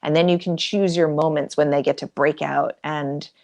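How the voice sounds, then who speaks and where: A woman hosting a conversation casually, halting as she searches for her words. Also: low-pitched, fairly even intonation, gravelly, darkish tone. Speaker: interviewer, host.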